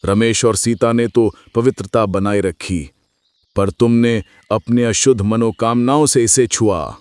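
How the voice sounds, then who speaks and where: A man playing a wise old traveller who solemnly admonishes in an animated moral story. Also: on the low side, measured pace, dry recording, close to an everyday voice. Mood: warning.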